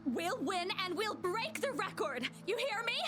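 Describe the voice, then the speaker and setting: A woman playing an excited, confident and friendly young relay athlete in a video game. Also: very high-pitched, unhurried, exaggerated voice. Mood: upbeat, eager. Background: game music.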